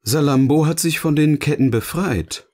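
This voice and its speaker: A man's voice: a little high, normal speed, a little mellow, lightly acted. A man acting a teasing, philosophical partner in scripted audio-novel dialogue, with a theatrical, questioning manner. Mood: musing. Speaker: voice actor, narrator.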